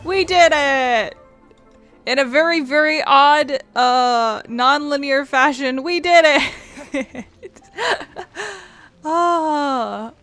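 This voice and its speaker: A woman host chats her way through a video game. Her voice sits on the high side, with music behind it. She is wry and offhand, and happy to swear. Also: very slow, long pauses, a bit bright. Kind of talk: casual let's play commentary.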